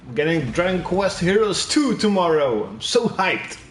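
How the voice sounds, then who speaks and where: A man's voice: high voice, very animated, normal speed, echoey room. A young Dutch man with a Dutch accent, casually commentating a game and chatting with viewers, swearing now and then. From Netherlands. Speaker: gaming streamer. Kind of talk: casual live commentary while playing.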